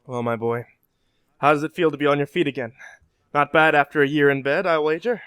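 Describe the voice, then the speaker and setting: A man's voice: on the high side, fairly quick, fairly bright tone, clean tone, no room sound, clean studio sound, close to an everyday voice. A man acting a kindly, avuncular older professor in an old-time radio drama style. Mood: jovial. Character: kindly, fatherly older male professor, soothing mentor.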